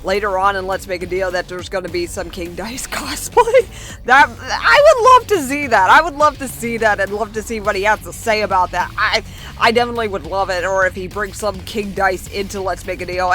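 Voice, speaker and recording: A young woman vlogger chatting casually as she reads out and answers viewer comments, in a chatty, excitable manner. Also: medium pitch, normal speed, soft background music.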